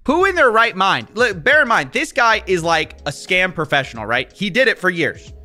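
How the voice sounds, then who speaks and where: A man's voice: sits high, sing-song, moderate pace, bright, forceful tone, soft background music. A man in his thirties giving investigative commentary in an incredulous, emphatic way at a close studio mic. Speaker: video presenter investigating scams. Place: small indoor studio. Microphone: close desk microphone.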